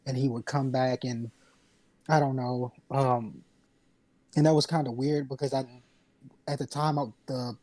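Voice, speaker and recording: A man with a medium-pitched voice chats casually as a podcast panelist. His delivery is halting and tentative, and the sound is dry with hardly any room. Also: steady tone, a little mellow, dry recording, low-quality recording. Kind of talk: casual chat.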